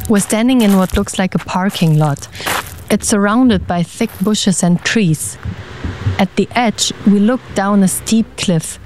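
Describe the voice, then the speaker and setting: A woman's voice: medium pitch, measured pace, darkish tone, slight background noise. A woman reporter reading scripted documentary narration.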